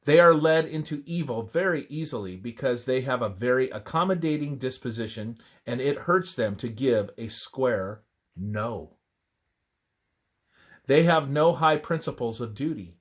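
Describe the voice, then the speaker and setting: A man reads a scripted religious text aloud as devotional narration, in a measured, emphatic scripture-reading manner. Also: mid-range voice, expressive.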